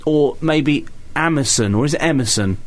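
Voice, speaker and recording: A man with a fairly high voice hosts a casual podcast. He rambles along in comic banter, putting on a mock-grand tone for effect. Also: normal speed. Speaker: breakfast radio podcast host.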